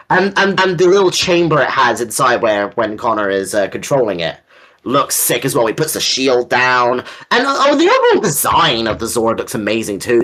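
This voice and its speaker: A man's voice: a little high, fairly bright tone. A man co-hosting a casual fan discussion, talking in an enthusiastic, rambling way with some casual swearing. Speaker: co-host.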